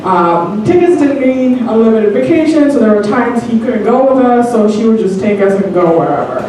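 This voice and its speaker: A woman's voice: fairly high pitch, animated, normal speed, warm tone, a little hiss or hum. A woman from Bangladesh telling a personal story on stage, earnest and hesitant with frequent ums. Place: comedy theater stage. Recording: echoey theater from far off.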